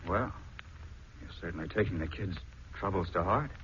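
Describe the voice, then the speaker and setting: Blunt, scoffing husband, dull old radio. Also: male voice, deep voice, normal speed, fairly bright tone. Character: gruff, skeptical, down-to-earth husband, dismissive of sentiment. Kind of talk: 1940s radio drama acting. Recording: dull, noisy 1940s radio recording.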